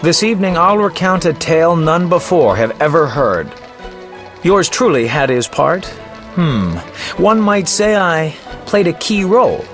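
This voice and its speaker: Lilting, higher-voiced flamboyant bard. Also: male voice, lively intonation, a little slow, fairly bright tone, in a large hall, soft background music. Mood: theatrical, boastful. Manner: theatrical, florid, self-important. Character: flamboyant, vain, roguish male poet and bard. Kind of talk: video game voice acting, storytelling.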